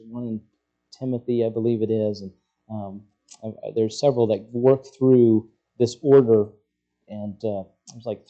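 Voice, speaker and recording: A man preaching an expository sermon, working through scripture as a teacher would, often halting as he searches for words. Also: mid-range voice, mellow, muffled recording.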